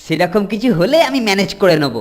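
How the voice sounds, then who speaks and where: A woman's voice: mid-range voice, animated, quick pace, close to an everyday voice. A woman voicing a bossy, boastful older schoolboy who greedily schemes after treasure in a children's cartoon. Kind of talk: Bengali children's cartoon dialogue. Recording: music under the voice.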